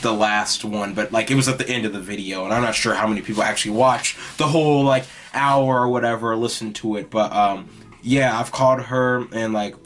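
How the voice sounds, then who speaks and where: A young man hosting his own podcast, talking in a loose, rambling way with lots of "like" and "you know", in a raspy, mostly monotone voice. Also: on the low side, fairly quick. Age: young adult. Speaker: podcast host. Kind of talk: casual rambling monologue.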